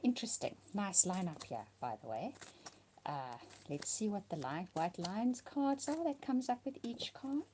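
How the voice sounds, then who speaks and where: A woman with a cold and a partly lost voice, giving a weekly tarot card reading, soft and slipping into whispers at times. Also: mid-range voice, animated, takes it slowly, a little hiss or hum.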